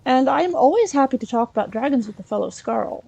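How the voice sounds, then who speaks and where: A curious female scholar adventurer, improvised by a woman playing a game, talks in a high voice with a lot of creak. She is polite and inquisitive, often halting and hesitant. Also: lively intonation, warm tone, highs cut off, soft background music, heavily acted. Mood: awkward, polite. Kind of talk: improvised roleplay in a video game let's play.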